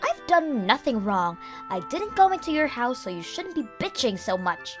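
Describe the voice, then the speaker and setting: A woman's voice: fairly high pitch, big pitch swings, normal speed, over music, voice pushed up. A woman voicing a brazen, testy, nonchalant female freeloader in a manga dub. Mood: defiant. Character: brazen, nonchalant woman, freeloading in-law, irritated when challenged. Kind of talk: manga dub character acting.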